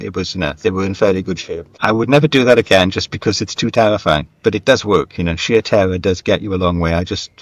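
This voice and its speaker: Low-voiced man, candid and reflective. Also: no echo. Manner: candid, self-deprecating, wandering anecdotal talk. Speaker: guest, screenwriter and novelist. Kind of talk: reflective conversational interview.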